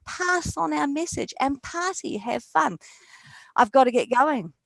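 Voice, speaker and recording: A woman's voice: sits high, animated, unhurried, creaky, fairly bright tone, no room sound, poor audio. A woman from New Zealand with a New Zealand accent, performing a spoken word poem with a rhythmic, rhyming delivery over a video call. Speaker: poet.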